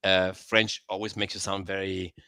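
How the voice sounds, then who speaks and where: A man's voice: low-pitched, level tone, moderate pace, gravelly, a crowd in the background. A man speaking as a podcast guest in casual chat, self-deprecating and laughing often.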